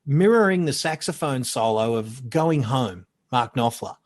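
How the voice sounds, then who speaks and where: A man chatting as a podcast guest, loud and animated, rambling through stories and correcting himself as he goes. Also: mid-range voice, a little slow. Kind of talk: casual chat.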